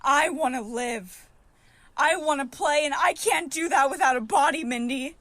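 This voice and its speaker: A woman's voice: high-pitched, normal speed, a bit bright, no room sound. A woman playing a taunting, playful evil female spirit that possesses people, gloating and teasing in an audio horror drama.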